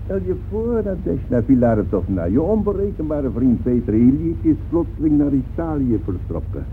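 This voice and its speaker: An actor playing a blustering, scornful musician friend in a theatrical, mocking radio play manner. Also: male voice, mid-range voice, sing-song, normal speed, close to an everyday voice. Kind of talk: animated radio play dialogue. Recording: tinny band-limited radio play, faint noise.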